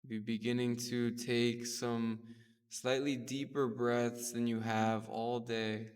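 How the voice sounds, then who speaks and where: A man leading a guided meditation with gentle, soothing, unhurried instruction. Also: mid-range voice, flat delivery, clean tone. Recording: distant mic in a reverberant space.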